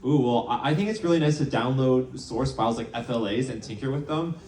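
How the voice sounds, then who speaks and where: A man's voice: mid-range voice, fairly quick, a little hiss or hum. A man answering fan questions on a live panel, playful and chatty. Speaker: show creator on a panel. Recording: roomy, distant, loud crowd.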